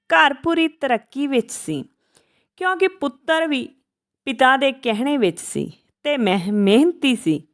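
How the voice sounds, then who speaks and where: A woman storyteller narrates a scripted tale. She reads it out in measured phrases, occasionally tripping over a word. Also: on the high side.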